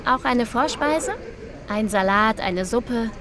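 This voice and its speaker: A woman playing a busy, brisk restaurant waitress in a scripted language-lesson dialogue. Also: on the high side, expressive, crowd noise. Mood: businesslike. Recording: clear noise, clinking dishes.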